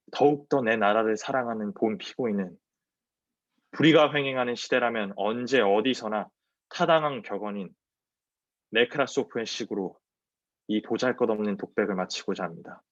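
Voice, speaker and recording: A man's voice: fairly low pitch, racing, dry recording. Male, twenties, solemn declamatory recitation, dry and slightly muffled video-call recording. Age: 20s. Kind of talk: dramatic recitation of a defiant courtroom statement. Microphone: wireless earbuds on a video call. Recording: dull, lo-fi video-call audio.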